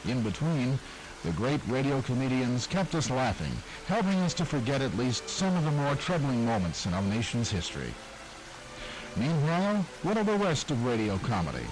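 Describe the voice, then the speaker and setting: A man's voice: mid-range voice, moderate pace, over music, rough recording. A man with an American accent delivering scripted documentary narration as the narrator. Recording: rain noise over old broadcast audio. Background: steady rain.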